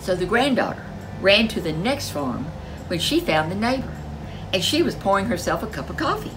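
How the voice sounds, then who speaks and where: A woman's voice: medium pitch, very animated, normal speed, a little hiss or hum, rough recording. A woman storyteller reading a folk tale aloud in a dramatic, emphatic way. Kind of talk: animated folk tale narration for children.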